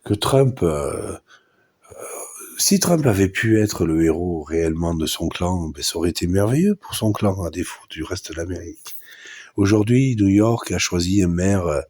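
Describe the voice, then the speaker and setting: A man's voice: low voice, animated, moderate pace, a little mellow, breathy edge. A man with a head cold, hosting a live podcast and giving a blunt, crude monologue of opinions full of hesitations and restarts. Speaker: podcast host. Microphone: live podcast mic.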